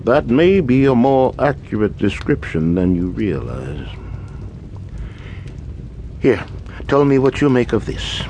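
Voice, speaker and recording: An actor playing a scholarly, secretive occultist in a radio drama, speaking in a formal, erudite, measured way. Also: male voice, mid-range voice, sing-song, dark tone, a little hiss or hum. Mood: grave, ominous. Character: scholarly, secretive male occultist, nervous employer.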